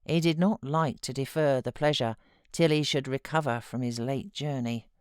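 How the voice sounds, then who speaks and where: A British woman reading classic literature as an audiobook narrator, in a measured, expressive storytelling manner. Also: extremely low pitch, clear, resonant tone, no echo, clean studio sound. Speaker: audiobook narrator, voiceover artist.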